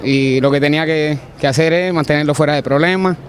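A man's voice: fairly high pitch, fairly quick. A middle-aged man, a jockey giving brief, grateful answers outdoors at a racetrack on a handheld mic. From Puerto Rico. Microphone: handheld mic held by interviewer.

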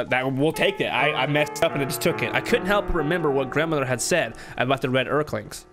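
A man's voice: mid-range voice, a bit bright. A man in his twenties giving casual, slangy gameplay commentary and reading aloud, bantering as he plays. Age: 20s. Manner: informal, slangy, bantering with the game. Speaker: gamer, let's player.

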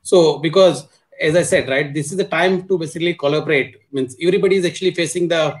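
A man's voice: a little high, fast. A middle-aged man with an Indian accent explaining business matters as a panelist on a video call, leaning on repeated filler words. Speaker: panelist, supply chain executive. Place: indoor room on a video call.

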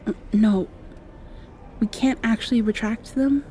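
Woman as insecure vampire girl, ASMR roleplay, soft warm tone, confiding, hesitant, slight background noise. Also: on the high side, steady tone, unhurried, close to an everyday voice. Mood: anxious, confiding. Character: shy, insecure half-mosquito vampire girl with hidden wings.

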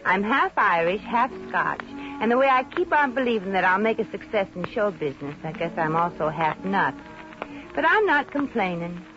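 A woman's voice: medium pitch, lively intonation, normal speed, music in the background, close to an everyday voice. An actress playing a plucky, wisecracking, broke showgirl in a 1950s radio comedy, with a brassy, wry, slangy way of talking. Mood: wry storytelling. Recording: dull, noisy 1950 radio recording.

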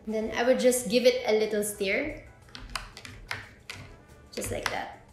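A woman's voice: fairly high pitch, steady tone, unhurried, a little mellow. A woman, presenting a step-by-step drink tutorial as host in an indoor kitchen. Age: 20s. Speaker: host of a drink recipe video. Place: indoor kitchen with bare walls. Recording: echoey room with background music. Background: music.

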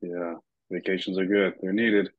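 A middle-aged man moderates a group coaching call with an encouraging manner. His voice is low and husky. The audio is dry and a little dull. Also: little pitch movement, normal speed, no echo. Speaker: host and business coach. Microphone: video call.

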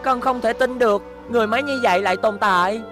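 Guy doing a cartoon robot kid, high worried voice, quiet music under it. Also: fast talker. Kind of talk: Vietnamese TV cartoon dub. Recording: music behind voice.

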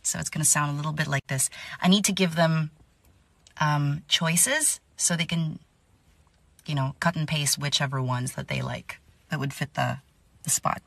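A woman's voice: low voice, steady tone, normal speed. A young adult woman who works as a voice-over artist, explaining her work casually.

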